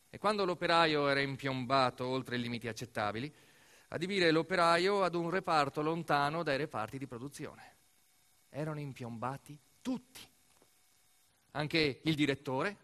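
A man performing a dramatic narrative monologue as a stage actor, emphatic, with deliberate repetitions and pauses. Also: fairly high pitch. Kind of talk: dramatic theatrical monologue storytelling. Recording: noisy recording with loud background ambience.

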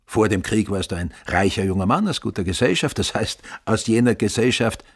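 Husky, animated Viennese businessman. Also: lowish voice, a little fast. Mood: blunt, businesslike. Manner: blunt, self-important, emphatic. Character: brisk, boastful older father-in-law, Viennese businessman. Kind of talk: radio drama acting.

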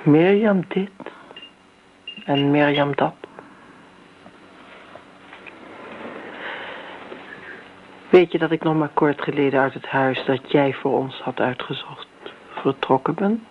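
Devoted middle-aged daughter, unhurried, earnest, coaxing, radio drama, tinny phone-like old recording. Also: female voice, sits low, a little hiss or hum. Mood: insistent. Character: devoted grown daughter, earnest and insistently admiring.

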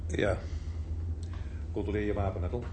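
An actor playing a firm, probing police inspector in a crime radio drama. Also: male voice, on the low side, fairly even intonation, normal speed, close to an everyday voice. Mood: probing, restrained. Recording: echoey, slightly noisy archived radio drama.

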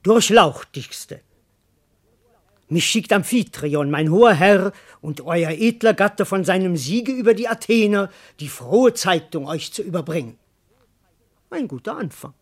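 Casting: boastful, craven manservant, classical verse radio play, very lively melody, pompous speeches broken by mutters. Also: male voice, high-pitched, measured pace, heavily acted. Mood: self-important. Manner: theatrical, shifting between pompous declamation and muttering asides. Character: cowardly, boastful male servant rehearsing grand speeches.

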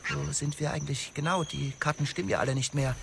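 An actor playing an agitated, talkative storm survivor in a radio drama, urgent and full of questions. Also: male voice, a little high, fairly even intonation, fast talker, vocal fry, background noise.

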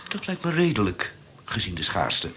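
Sly period con man: deep, bright, forceful voice, secretive, radio drama. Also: very animated, husky, full character voice. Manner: businesslike, brisk, secretive. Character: cunning male swindler posing as secretive pepper trader. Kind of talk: Dutch radio play acting.

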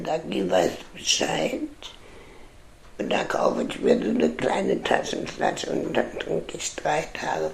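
A woman giving a casual personal account in a halting, rambling way. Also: fairly low pitch, vocal fry. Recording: roomy, with voices behind.

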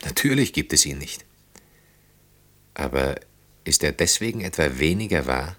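A very deep male voice plays an earnest man in his thirties. He is in love and blames himself, speaking intimately and thoughtfully, as in a radio drama. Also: expressive, normal speed, a bit bright, exaggerated voice. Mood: agitated, arguing. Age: 30s. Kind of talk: German radio play acting.

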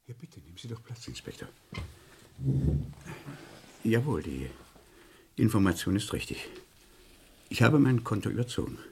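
An actor playing a weary, evasive man under suspicion in a German radio crime play, hesitant and polite, with a husky voice. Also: on the low side, very animated, moderate pace, long pauses, a little mellow, a little hiss or hum. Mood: guarded, defensive. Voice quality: husky, gravelly. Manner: hesitant, polite, repeats words.

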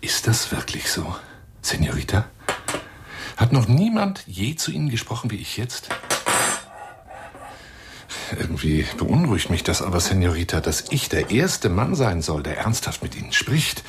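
A very deep-voiced, pompous lion hunter pours out a flirtatious telephone monologue. His delivery leaps and swoops theatrically, grand and insistent, in radio drama style, over a clearly noisy, crackling recording. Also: sing-song, normal speed, pauses often. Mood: grandiose, insistent.

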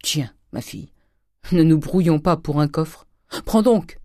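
A woman voicing a gruff, miserly old father who cajoles and blusters in short exclamations, in a storybook reading. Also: mid-range voice, very animated, unhurried, warm tone, dry recording, clean studio sound, pitched above the performer's own voice. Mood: urgent appeasing. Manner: exclamatory, wheedling, abrupt short phrases.

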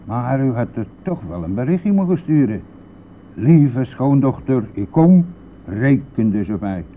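Boastful bus driver, tinny old recording. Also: male voice, mid-range voice, sing-song, unhurried. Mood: chatty. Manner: blustery, colloquial, mildly swearing. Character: boastful, brash, talkative male bus driver. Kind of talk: radio play acting. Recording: narrow phone-like old radio recording, faint noise.

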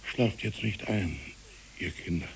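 A wistful old pastor, hissy recording. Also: male voice, fairly low pitch, unhurried, vocal fry. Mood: wistful, pleading. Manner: pleading, dreamy, rambling. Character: fanciful old village pastor, tender and wistful with children. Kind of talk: 1960s German radio play acting. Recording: hissy 1960s radio recording.

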